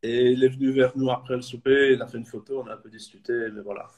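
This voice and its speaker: A man's voice: medium pitch, steady tone, quick pace, highs cut off, very noisy. A man in his thirties from Belgium, talking casually as a guest in an online interview, hesitant with many fillers and restarts. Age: 30s. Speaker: supporters club guest. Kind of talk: casual video call interview.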